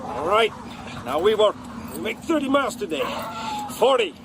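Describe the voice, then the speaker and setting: An actor playing a brisk, hard-driving dog-sled driver in a radio drama, speaking in short, commanding phrases. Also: male voice, sits high, very animated, gravelly, exaggerated voice. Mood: brisk, rousing. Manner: barking short commands and calling out names. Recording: loud outdoor-like background noise.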